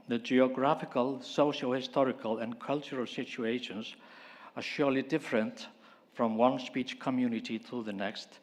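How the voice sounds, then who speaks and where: Man giving a formal talk. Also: medium pitch. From Iceland. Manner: formal, measured, pausing often. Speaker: conference speaker. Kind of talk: prepared conference talk.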